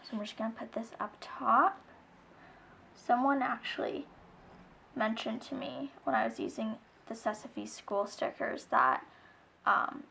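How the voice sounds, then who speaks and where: A slightly hoarse young woman chatting casually while she decorates her planner, rambling softly and often dropping to a near whisper. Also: mid-range voice, a little hiss or hum, rough recording. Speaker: planner vlogger.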